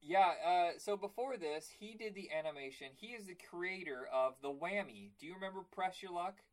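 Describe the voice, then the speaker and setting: A man with a raspy voice hosting a casual cartoon podcast, telling stories and acting out quotes. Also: high voice, normal speed, poor audio. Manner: animated storytelling, acts out quotes. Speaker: podcast host. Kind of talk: casual chat.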